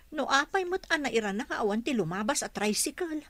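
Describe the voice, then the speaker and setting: An anxious young mother with an Ilocano accent, dutiful and respectful. Her fairly high voice is captured close and dry, as in radio drama. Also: animated, a little fast, a bit bright, no room sound. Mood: respectful, busy.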